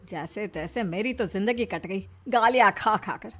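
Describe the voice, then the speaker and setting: A woman's voice: medium pitch, normal speed, a bit bright, soft background music, lightly acted. A woman voicing a long-suffering middle-aged mother-in-law in a story, protective and pleading in an earnest, plaintive way. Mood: pleading, bitter. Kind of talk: dramatised Hindi moral story.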